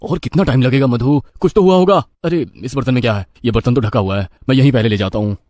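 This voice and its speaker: A man's voice: mid-range voice, fast, darkish tone, no room sound, lightly acted. Man playing a brusque, dismissive husband, dry sound. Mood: impatient. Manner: brusque, bossy. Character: dismissive, demanding husband who loves spicy food.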